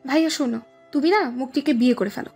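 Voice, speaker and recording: A woman plays a meddling, teasing little sister with a high voice, quick to take offence. It is an audio love story with faint music underneath. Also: darkish tone, breathy edge. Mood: insistent, confiding.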